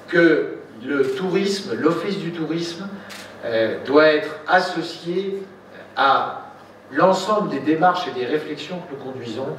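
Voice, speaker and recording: Echoey, distant man making a formal speech. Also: a little high. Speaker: local official giving a speech. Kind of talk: formal ceremonial public speech. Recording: echoey room, distant mic, faint noise.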